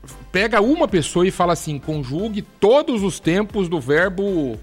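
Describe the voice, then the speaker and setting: A man's voice: sits high, sing-song, normal speed, faint music behind. A man co-hosting a casual chat, joking and self-deprecating as he shares opinions. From Brazil.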